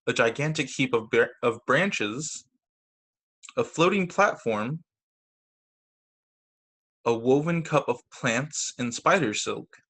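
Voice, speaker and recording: A man reading picture books aloud to children as a teacher, expressively, with casual asides about the pictures. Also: medium pitch. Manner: expressive, acts out quoted lines, comments on the pictures. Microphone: video call.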